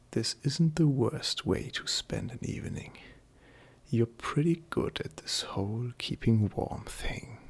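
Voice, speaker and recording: A man playing an affectionate, teasing furry male friend in an intimate roleplay ASMR, softly flirtatious and hesitant, with a breathy, whispery voice. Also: fairly low pitch, full character voice.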